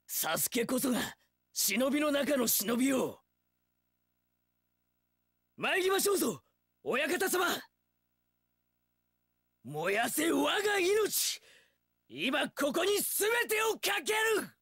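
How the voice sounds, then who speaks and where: An actor playing a young, hot-blooded, loyal samurai in an action game, bellowing earnestly in archaic warrior speech. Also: male voice, extremely high pitch, big pitch swings, takes it slowly, long pauses, a bit bright, dry recording, studio quality, exaggerated voice. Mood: fervent devotion. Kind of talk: Japanese action game voice acting.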